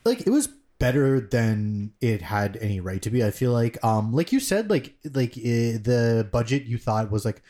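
A man's voice: medium pitch, big pitch swings, moderate pace, hardly any pauses, warm tone. A man co-hosting a podcast in casual chat, rambling with lots of fillers and the odd swear. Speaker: podcast co-host.

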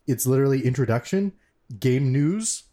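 A man's voice: medium pitch, animated, normal speed, mellow. A man co-hosting a casual gaming podcast, explaining at length with lots of fillers and restarts. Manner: rambling, filler-heavy explanations. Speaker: podcast co-host. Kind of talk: casual chat.

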